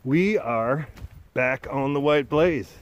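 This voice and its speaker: A middle-aged man hosting a hiking vlog, talking to the camera under a tarp outdoors in the woods in a relaxed, chatty way with lots of "uh"s. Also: mid-range voice, slow, lo-fi sound. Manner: relaxed and chatty, many filler "uh"s. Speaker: hiking vlogger. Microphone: camera at arm's length.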